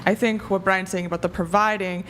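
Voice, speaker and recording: A woman's voice: medium pitch, steady tone, fairly quick, a bit bright, a little hiss or hum. A woman who works as a matchmaker, chatting on a podcast panel and saying "like" a lot. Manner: chatty, lots of "like". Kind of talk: casual panel chat. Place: bright studio with a large panel. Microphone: desk mic on arm.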